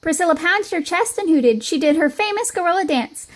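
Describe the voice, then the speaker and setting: Woman, high voice, expressive read-aloud, children's storybook narration. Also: a little fast. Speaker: storybook reader.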